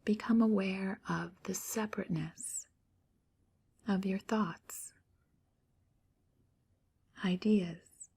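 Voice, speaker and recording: Breathy, slow-speaking woman meditation guide. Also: mid-range voice. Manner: soft, breathy, near-whispered delivery; slow, calm, gentle pauses.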